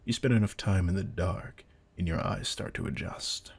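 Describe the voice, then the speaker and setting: A man playing a veteran vampire hunter in an audio drama, a controlled, intense mentor who speaks in a deliberate, commanding, quietly menacing way. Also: deep voice, very noisy. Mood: wry, guarded. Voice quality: gravelly, husky. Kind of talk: scripted roleplay audio drama.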